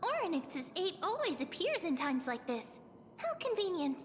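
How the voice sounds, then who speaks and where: A woman's voice: very high voice, very animated, moderate pace, noisy background. A woman voicing a small, childlike fairy companion creature, curious and chirpy, in a video game. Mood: curious, cheerful. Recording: long hall reverb, game music.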